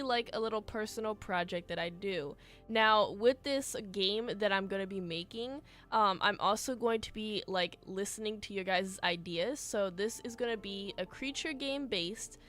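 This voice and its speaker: Chatty woman streamer, a bit hoarse. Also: mid-range voice, a bit bright. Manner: chatty, rambling, many fillers. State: slightly hoarse from a cold. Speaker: game streamer. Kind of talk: casual livestream chat while building.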